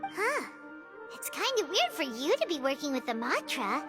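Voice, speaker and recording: Chatty high-pitched game fairy, cheeky and exclaiming, with music behind. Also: female voice, big pitch swings, moderate pace. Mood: teasing. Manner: teasing, bouncy, exclaiming. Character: small floating female fairy companion, cheeky and chatty.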